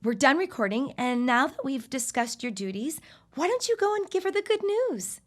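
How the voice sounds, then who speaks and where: Lady acting classy old-timey woman, higher voice, proper and firm, doesnt back down, audio drama. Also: big pitch swings, normal speed. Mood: gracious. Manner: formal, firm, precise phrasing. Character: poised, strong-willed, well-to-do 1910s lady.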